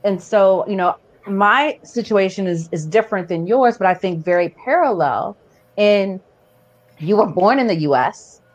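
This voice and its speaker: Casual American woman podcast host, mid-pitched. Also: animated, measured pace, lo-fi sound. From born in Barbados, raised in the United States. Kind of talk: casual conversational interviewing.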